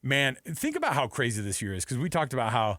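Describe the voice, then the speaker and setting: A man's voice: mid-range voice, wildly swooping pitch, fast, fairly bright tone, no room sound, clean studio sound. A man with an American accent co-hosting a sports radio show, analysing in an unscripted, emphatic, conversational way and repeating phrases for emphasis.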